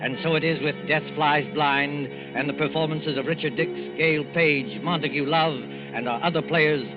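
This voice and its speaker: A man hosting and narrating a 1940s radio thriller in a measured, dramatic style. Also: a little high, steady tone, over music. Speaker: program host and narrator. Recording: muffled vintage radio recording.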